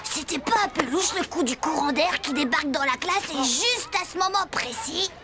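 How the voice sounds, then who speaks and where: A high, childlike voice of a timid but sensible schoolboy played by a woman in a cartoon. He sounds earnest and easily flustered, over clear background music. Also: normal speed, close to an everyday voice. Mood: curious, suspicious. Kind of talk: animated cartoon dialogue. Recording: music behind the voice.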